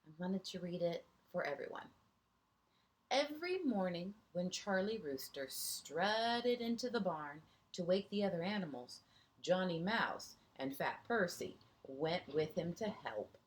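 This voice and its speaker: A woman's voice: lowish voice, animated, measured pace. A woman teacher reading a picture book aloud to young children, expressively, with asides and questions to her listeners.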